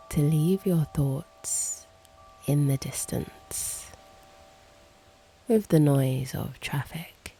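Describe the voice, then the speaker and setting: Slow, low-voiced woman guiding meditation. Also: warm tone, low-quality recording. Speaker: host and meditation guide. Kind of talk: guided meditation, slow and hushed.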